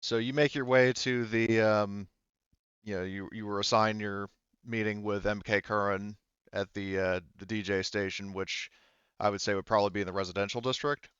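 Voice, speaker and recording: Halting, low-voiced man as game master. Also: steady tone, fairly bright tone, clear, resonant tone, no room sound, lo-fi sound. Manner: halting, hedging, searches for words. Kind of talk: narrating a tabletop roleplaying game, improvised.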